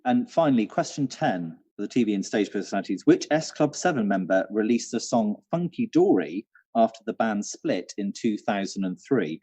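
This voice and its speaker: A man's voice: fairly low pitch, expressive, normal speed, dark tone, slightly rough, no echo. A man hosting a casual live quiz from home, chatty and repeating questions for listeners, on a dull livestream recording. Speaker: quiz host, theatre venue manager.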